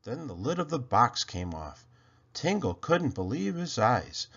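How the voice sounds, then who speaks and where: A man with a gravelly, husky voice narrating a children's story in an expressive read-aloud style. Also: medium pitch, measured pace, lo-fi sound. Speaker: storybook reader.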